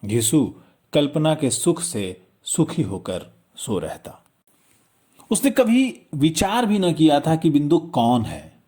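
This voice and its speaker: A male audiobook narrator reads a literary story at an unhurried pace. His pitch moves widely from phrase to phrase, and he shapes each line with measured, dramatic pauses. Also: sing-song, mellow, husky.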